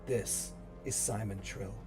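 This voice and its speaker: A man's voice: fairly low pitch, steady tone, slow pace, over loud music. A man reading stage directions as narrator in a dramatic screenplay table read. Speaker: narrator and reader.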